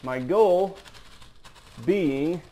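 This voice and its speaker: A man's voice: a little high, extremely slow, dark tone. Folksy man in a workshop. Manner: folksy, self-joking, talks while working. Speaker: model diorama builder. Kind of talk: casual how-to demonstration. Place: workshop, indoors.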